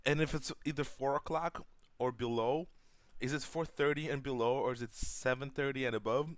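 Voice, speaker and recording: Young male streamer, goofy and rambling, mid-pitched voice, reads chat questions on a casual stream. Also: steady tone, brisk, no echo. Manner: goofy, rambling, sings silly jingles. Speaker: livestream co-host.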